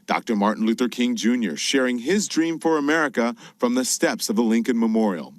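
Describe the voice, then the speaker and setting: A man anchoring a news report, reading in a crisp, emphatic broadcast style. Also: mid-range voice, expressive, brisk, no room sound. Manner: crisp, emphatic newsreader delivery.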